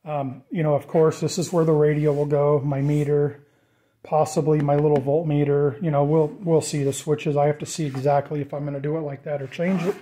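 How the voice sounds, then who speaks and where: A man giving a casual, off-the-cuff walkthrough of a DIY project, informal and thinking out loud as he goes. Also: on the high side, level tone, brisk. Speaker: DIY vlogger presenting a build.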